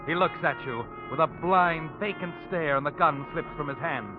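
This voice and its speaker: An actor as ominous American radio suspense narrator, phone-like sound. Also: male voice, a little high, natural voice. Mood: brooding suspense. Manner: dramatic, deliberate. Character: ominous, all-knowing storyteller of a suspense tale. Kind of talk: 1940s radio suspense narration in second person. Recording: old radio, phone-like band, music behind.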